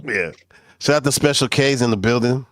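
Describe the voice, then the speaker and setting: A man's voice: mid-range voice, brisk, no room sound. A man co-hosting a livestream in casual chat, laid-back and greeting viewers. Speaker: livestream co-host.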